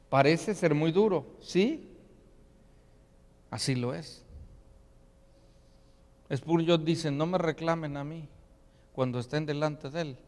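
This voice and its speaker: Pastor guy preaching, fairly high voice, long echo like a big church hall. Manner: earnest preaching with frequent rhetorical questions and quoted asides. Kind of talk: sermon. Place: church sanctuary.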